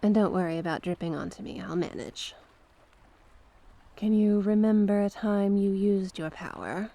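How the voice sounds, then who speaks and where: A woman's voice: medium pitch, vocal fry, mellow, no echo. A woman voicing a mysterious older sea witch in an intimate ASMR roleplay, coaxing and theatrical in her delivery. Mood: pleased, guiding. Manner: slow, theatrical, coaxing, with terms of endearment.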